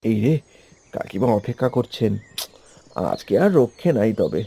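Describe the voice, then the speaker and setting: A meek young village lad from a cartoon acted by a man, with a lively, swinging intonation. He sounds apologetic and put-upon. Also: on the low side, normal speed, slight background noise. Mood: nervous. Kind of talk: animated cartoon voice acting.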